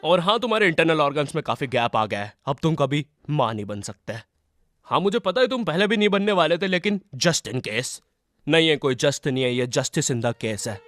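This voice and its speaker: A cartoon doctor , performed by a man, speaks in a lowered voice. He is solemn and deadpan, confiding absurd bad news as if it were grave. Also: moderate pace, dry recording, faint music behind.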